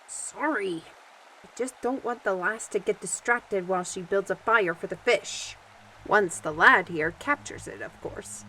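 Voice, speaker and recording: A woman playing a cheeky, excitable fantasy adventurer in an audio drama, with teasing, wordy, folksy phrasing. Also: mid-range voice, unhurried, slight background noise, low-quality recording.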